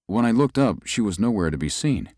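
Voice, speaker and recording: Actor as a deep-voiced young lawyer narrating. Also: male voice, sing-song, darkish tone, no echo, studio quality. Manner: measured, tells the story with rising unease. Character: young male lawyer recuperating in the country. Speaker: narrator and lead. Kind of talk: audio ghost story narration.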